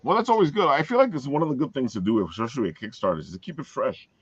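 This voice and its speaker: A man's voice: mid-range voice, animated, racing, breathy edge, dry recording. A man hosting a casual interview over a video call, enthusiastic and jokey in the way he talks. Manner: enthusiastic, jokey, chuckles often. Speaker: host. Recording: dry, dull lo-fi call audio.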